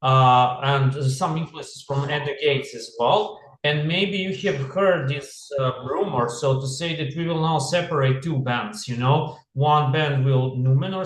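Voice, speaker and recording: A man from Serbia, answering interview questions in English over a video call, halting and full of "you know". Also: a little high, reverberant, low-quality recording. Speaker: band member.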